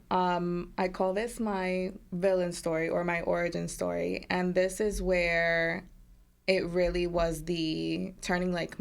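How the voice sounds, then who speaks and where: A woman's voice: lowish voice, vocal fry. A woman dietitian who grew up in the United States, a guest speaking in an upbeat, casual way and laughing often. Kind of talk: casual interview talk.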